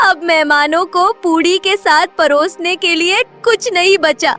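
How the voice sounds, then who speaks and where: Woman as a very high-pitched melodramatic village housewife. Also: lively intonation, takes it slowly, a bit bright, soft background music, exaggerated voice. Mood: wailing. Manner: teasing, melodramatic. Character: chatty, melodramatic village sister-in-law housewife. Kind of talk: Hindi comedy cartoon voice acting.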